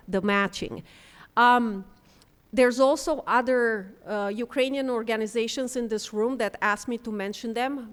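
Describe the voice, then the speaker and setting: A woman giving a conference talk, halting now and then with ums and restarts as she speaks. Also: on the high side. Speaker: panel speaker for a refugee housing group. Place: conference room. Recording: long hall reverb.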